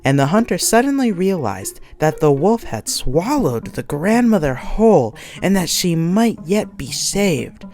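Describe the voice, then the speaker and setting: Animated woman reading a fairy tale. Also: lowish voice, unhurried, few pauses. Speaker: storyteller, read-along narrator. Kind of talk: expressive scripted children's story narration. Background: soft music.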